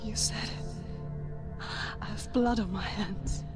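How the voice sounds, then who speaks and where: An actress playing a grieving, tormented young warrior woman in a video game, breathy and pleading. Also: medium pitch, steady tone, slow pace, loud music behind the voice, lightly acted. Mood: haunted. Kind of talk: dramatic video game acting. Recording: loud score behind.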